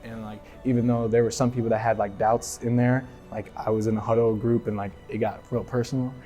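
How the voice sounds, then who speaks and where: Mellow-voiced teen guy from Philly. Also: mid-range voice, level tone, faint music behind. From Philadelphia. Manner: halting, many "like" and "right?" fillers. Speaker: athlete sharing testimony. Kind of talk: casual personal testimony.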